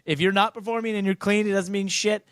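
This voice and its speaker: A man's voice: sits high, fairly bright tone, clean tone, no echo, studio quality. An American man hosting a casual sports podcast, talking bluntly and emphatically in long, rambling turns. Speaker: podcast host, racing driver.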